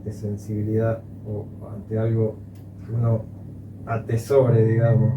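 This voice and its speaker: A man's voice: on the low side, monotone, a little slow, background noise. Rambling Argentine man in an echoey room. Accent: Argentine Spanish. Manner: reflective, rambling, restarts often. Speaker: coffee educator. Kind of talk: casual conversation. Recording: echoey room, far from mic, dull sound, loud music.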